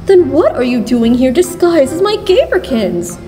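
A woman's voice: sits high, sing-song, normal speed, warm tone. A woman voicing a flamboyant, obsessive, shameless rich woman in a theatrical cartoon comic dub. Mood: excited. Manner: gushing, theatrical. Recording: long reverb, music behind.

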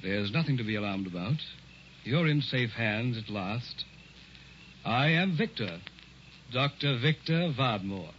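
An actor playing a sinister, commanding carnival hypnotist in old-time radio horror, deliberate and theatrical. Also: male voice, mid-range voice, expressive, noisy background, close to an everyday voice. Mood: calmly menacing. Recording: muffled vintage radio recording.

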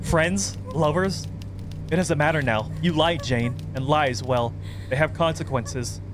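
A man reads as a thirty-year-old male psychopath in a horror game. He is possessive and coaxing, with a controlled, sinister threat under the words. The voice sits a little high for a man, over clear music. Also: unhurried, close to an everyday voice. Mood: calm menace. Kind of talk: reading horror game dialogue aloud.

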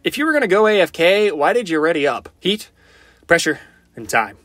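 A man's voice: a little high, big pitch swings, a little fast, a bit bright, no room sound, clean studio sound. A man voicing a cocky, flirty, goofy wisecracking survivor for fan-made video game lines, in a playful, theatrical, quippy manner. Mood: musing, deadpan. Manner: playful, theatrical, quippy, often sing-song.

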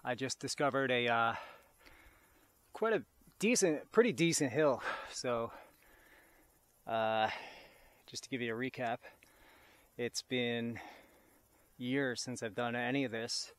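A man chatting casually and haltingly to a camera while out mountain biking on a wooded trail, in a laid-back way. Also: medium pitch, a bit bright, no echo. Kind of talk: casual vlog chat while riding. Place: outdoors on a wooded bike trail. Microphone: action camera worn or mounted while riding. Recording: wind and outdoor ride noise.

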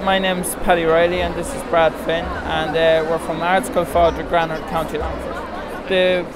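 A man's voice: fairly high pitch, level tone, normal speed, over a noisy crowd, heavy background noise. A teenage boy with an Irish accent describing his project hesitantly. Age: teens. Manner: hesitant, plain spoken. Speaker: student exhibitor.

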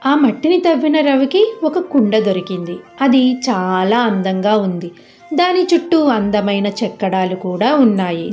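A woman's voice: mid-range voice, darkish tone. Female storyteller over faint music. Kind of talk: scripted children's moral story narration.

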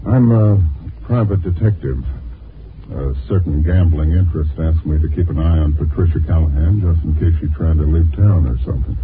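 An actor with an American accent playing a shady, insistent private detective in a 1950s radio drama. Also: male voice, a bass voice, normal speed. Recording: muffled, noisy old 1950s radio recording.

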